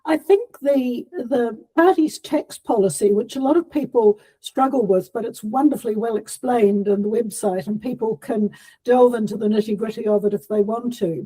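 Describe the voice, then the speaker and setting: A New woman, a political candidate, explaining a policy in a measured way. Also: fairly high pitch, mellow, slightly rough, rough recording. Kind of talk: interview answer.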